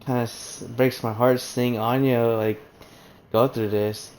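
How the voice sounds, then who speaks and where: Brief: male streamer, mid pitch, slow laid-back talk, casual swearing reactions. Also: little pitch movement, darkish tone. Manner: casual, rambling, swears, laughs. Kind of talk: casual live gameplay commentary.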